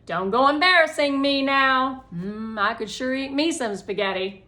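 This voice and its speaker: A woman's voice: high-pitched, measured pace, pushed and bright, voice pushed up. Woman as a jovial, folksy male teacher. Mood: jovial, teasing.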